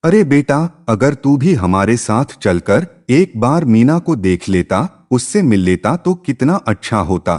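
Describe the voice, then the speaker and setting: A man's voice: mid-range voice, very animated, measured pace, hardly any pauses, darkish tone. A man voicing a caring middle-aged mother who coaxes and reassures in a narrated moral story. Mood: concerned, coaxing. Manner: coaxing, reassuring.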